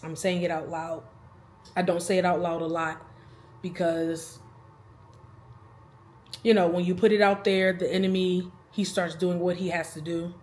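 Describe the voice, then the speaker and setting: A woman's voice: on the low side, flat delivery, unhurried, long pauses, a little mellow. A woman in her thirties talking casually to the camera in a rambling, chatty vlog, laughing between thoughts. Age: 30s. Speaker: vlogger.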